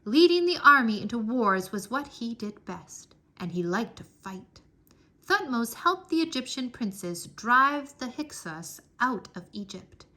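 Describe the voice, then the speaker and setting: A woman reading a history book aloud for children in an expressive, deliberate storytelling manner. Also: fairly high pitch. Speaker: read-aloud narrator.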